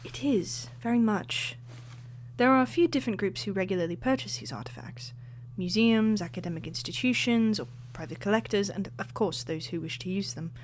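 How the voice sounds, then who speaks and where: Woman doing a history expert lady, calm and teachy, faint hiss behind. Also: medium pitch, lightly acted. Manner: measured, lecturing. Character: female artifact historian and author, fond teacher. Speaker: expert guest. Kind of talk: audio drama acting.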